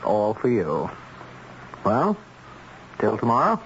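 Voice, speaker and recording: An actor playing a polite, coldly businesslike man who arranges killings, in a radio drama, speaking in a measured, commanding way. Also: on the low side, full character voice. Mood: smooth, businesslike. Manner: courteous, measured, calmly commanding. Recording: dull, noisy old radio recording.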